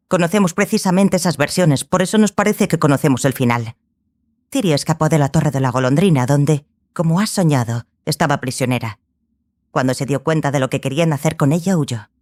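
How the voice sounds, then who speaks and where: A woman playing a composed, stern sorceress who instructs her pupil in a fantasy audiobook, measured and authoritative. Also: low-pitched, lively intonation, a little mellow, no room sound.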